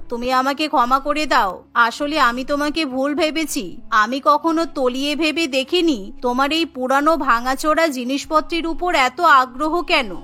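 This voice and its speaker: Lady doing older cartoon mother in law, sorry and measured, a bit lower, music behind. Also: bright, forceful tone. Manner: apologetic, measured. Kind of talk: dramatised cartoon dialogue. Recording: voice over background music.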